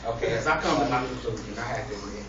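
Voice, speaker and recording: A man's voice: medium pitch, very fast. A man giving an informal, humorous speech to an audience in a mock-earnest, preacher-like way. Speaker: public speaker. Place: echoey indoor room with an audience. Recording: distant, very echoey, noisy, muffled room recording.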